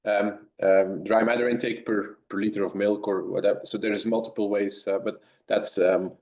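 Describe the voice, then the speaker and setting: A man from Belgium, a dairy science professor, answering webinar questions in halting speech with many restarts over a remote call. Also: on the low side, level tone, a little mellow. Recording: roomy, dull lo-fi sound.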